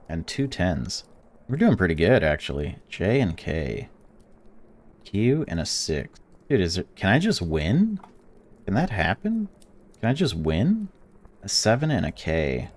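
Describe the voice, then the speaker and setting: A man hosting a gaming channel, reading a visual novel's text aloud while playing and breaking off into excited or indignant reactions. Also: deep voice. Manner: reads game text flatly, then blurts excited or indignant reactions.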